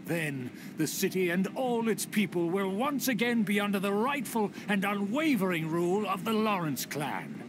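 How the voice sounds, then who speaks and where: A man's voice: sits high, sing-song, moderate pace, few pauses, noisy background. An actor playing a scheming, arrogant nobleman in a video game, formal and haughty. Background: game music.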